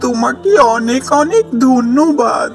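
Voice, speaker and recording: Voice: high voice, animated, unhurried, over loud music. A performer voicing an affectionate, easily frightened young boy in a children's cartoon, earnest and pleading. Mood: grateful, pleading.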